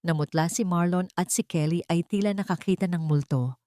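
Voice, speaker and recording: Lady storyteller reading a dramatic romance, lowish voice, really dry close sound. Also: brisk, warm tone, no echo.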